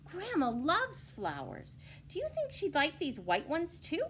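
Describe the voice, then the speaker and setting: Eager little country girl, high and sweet; woman reader putting her on. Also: wildly swooping pitch, unhurried, fairly bright tone, a little hiss or hum, full character voice. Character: cheerful young country girl in red.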